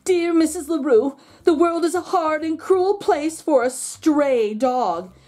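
A woman's voice: high voice, expressive, slow, mellow. A woman voicing a self-pitying, melodramatic dog from a storybook, pleading and stretching out words theatrically. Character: melodramatic, self-pitying male dog writing letters home.

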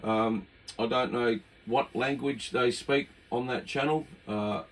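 An older man with an Australian accent giving easygoing, halting, amused commentary on his radio hobby. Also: mid-range voice, fairly even intonation. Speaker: radio hobbyist presenting his own video. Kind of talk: casual unscripted commentary. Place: indoors at a home radio desk. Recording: noisy room with radio static. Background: CB radio hiss and static.

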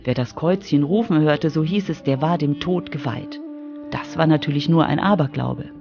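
Creaky-voiced radio feature narrator. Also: medium pitch, moderate pace, dull audio, music in the background. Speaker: narrator, reporter.

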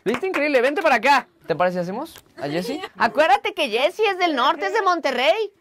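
A man's voice: extremely high pitch, big pitch swings, a little fast, a bit bright, slight background noise. A young man with a Mexican accent, chatting casually and jokingly as he hosts and directs a dubbing workshop. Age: young adult. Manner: joking, teasing. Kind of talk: casual vlog chat and coaching. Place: workshop room and small dubbing studio.

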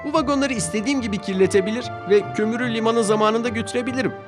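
A man voicing an eager, proud little male tank engine in a cartoon dub, indignant and quick to exclaim. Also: very high-pitched, fairly even intonation, voice pushed up. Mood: scheming. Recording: music playing behind.

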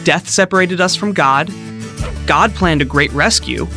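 A man giving a scripted, upbeat storytelling narration for an animated children's Bible video. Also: high voice, unhurried. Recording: studio voice-over with music underneath.

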